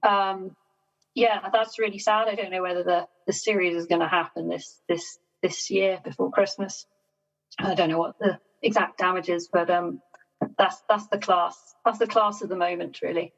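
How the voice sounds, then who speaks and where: A woman being interviewed on a sailing podcast, talking in a measured, self-deprecating way and laughing often. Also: on the low side, steady tone, fairly bright tone. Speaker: sailing guest. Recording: dull lo-fi sound.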